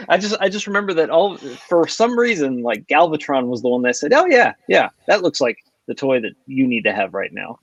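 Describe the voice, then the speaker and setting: A man in his forties co-hosting a podcast, reminiscing casually with halting pauses mid-sentence. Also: mid-range voice, lively intonation, no room sound, lo-fi sound. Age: 40s. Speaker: podcast co-host.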